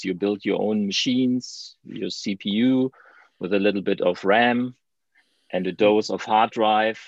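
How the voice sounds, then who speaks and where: A man's voice: on the low side, gravelly, no room sound. A man from Germany with a German accent, talking as a guest in a conversational interview, chatty, with restarts and light laughs. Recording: dull, lo-fi sound.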